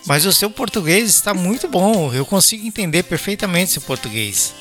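A woman host with a low voice, guiding a live interview and giving her guest warm, short acknowledgements. Music plays clearly behind her. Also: lively intonation, moderate pace. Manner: encouraging, with many short acknowledgements.

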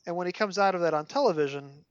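A man's voice: sits high, fast talker, smooth, no echo. Scratchy-voiced Brooklyn man, dry lo-fi sound. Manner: animated storyteller, mock dramatic. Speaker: podcast host. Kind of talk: casual chat, reading aloud from a book.

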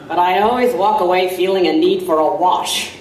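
A woman playing an intrepid, wry newspaper reporter, narrating an audio drama in a measured, formal way. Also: on the low side, a little mellow, a little hiss or hum, close to an everyday voice. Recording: roomy, distant voice over music.

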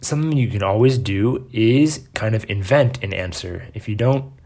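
A young man with a very low, deep voice walks through exam tips in a relaxed, unscripted way. He talks like a friendly language assistant, with plenty of ums and filler words between his points. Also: moderate pace, creaky. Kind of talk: casual exam-prep lesson to camera.

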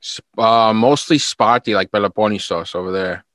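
A man's voice: lowish voice, normal speed, fairly bright tone, no room sound, studio quality. A young man with a New York accent, chatting casually, swearing freely and saying "like" and "bro" a lot. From United States. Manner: laid-back, swears freely, says "like" and "bro" often. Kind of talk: casual chat.

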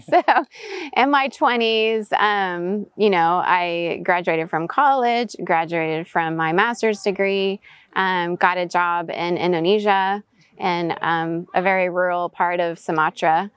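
Smooth, lower-pitched woman in conversation. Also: unhurried, fairly bright tone, no echo. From raised in Venezuela, US-born. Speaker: interview guest. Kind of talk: conversational interview answers. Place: outdoors, in person.

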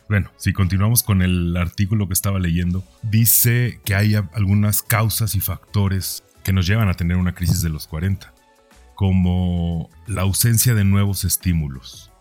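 A man in his forties with a deep, low voice speaks as a podcast host over music. He thinks aloud about himself in a conversational way, often rounding off a thought with a small questioning tag. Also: darkish tone. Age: 40s. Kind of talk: reflective personal podcast monologue.

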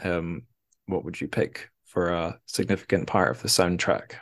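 A man's voice: low voice, moderate pace. A man hosting a podcast, talking casually and rambling with lots of fillers and self-deprecating asides. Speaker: podcast host. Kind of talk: casual chat.

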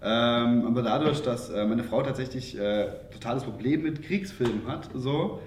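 A man's voice: medium pitch, moderate pace. Distant man chatting in echoey, slightly noisy room. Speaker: podcast panelist. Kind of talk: casual film discussion. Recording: echoey room, distant mic, faint noise.

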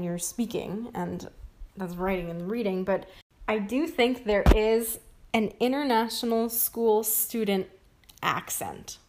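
A young woman co-hosting a podcast in casual chat, thinking aloud with restarts and fillers. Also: medium pitch. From Japan and New York, grew up between two languages. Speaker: podcast co-host.

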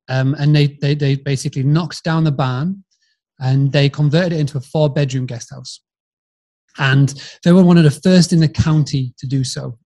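A man's voice: fairly high pitch, dark tone. A man in his forties with a Yorkshire accent, a podcast guest telling his story in a friendly, chatty way, often restarting and repeating words. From England, Yorkshire. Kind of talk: casual storytelling interview.

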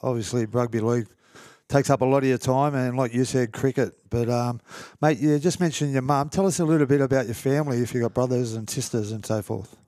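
A man's voice: medium pitch, brisk, mellow, no echo. A man with a mid-range voice co-hosts a rugby league podcast. He speaks in an easygoing, conversational way, recorded dry. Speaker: podcast co-host, former player. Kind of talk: casual podcast chat.

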